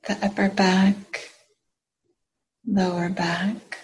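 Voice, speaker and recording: A woman leading a guided meditation as its teacher, speaking gently in short phrases with long pauses between them. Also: mid-range voice, little pitch movement.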